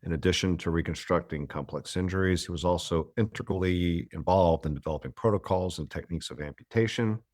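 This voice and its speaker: A man hosts a medical podcast. He reads a formal introduction in a measured way, then moves into conversation. The sound is close and dry. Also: low voice, darkish tone, no room sound. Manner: formal, reads a long guest biography. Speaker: podcast host. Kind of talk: scripted introduction and interview.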